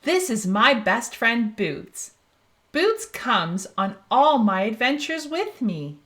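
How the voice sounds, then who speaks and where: A woman's voice: a little high, sing-song, slow pace, a bit bright, exaggerated voice. A woman reading aloud as a cheerful young girl explorer in a children's storybook, asking listeners questions and praising them. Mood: cheerful, encouraging. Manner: asks the listener questions, then praises the answer.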